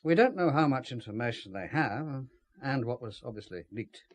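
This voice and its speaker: A man's voice: mid-range voice, lively intonation, fairly quick, lightly acted. An actor playing a suave, calculating British spymaster in a radio drama, urbane and understated as he explains things. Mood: composed, briefing.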